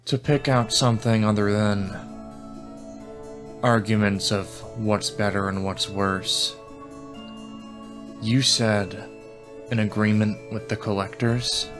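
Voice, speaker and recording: A man playing a stern, guarded negotiator in a tabletop roleplay, asking formal, deliberate questions. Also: on the low side, steady tone, music in the background. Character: stern, guarded negotiator, quietly angry and probing. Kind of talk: tabletop roleplay character acting.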